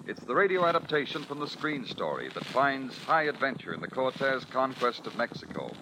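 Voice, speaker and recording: A man's voice: medium pitch, sing-song, a little fast. A man announcing on 1940s radio, reading a scripted program promo. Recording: noisy old radio broadcast.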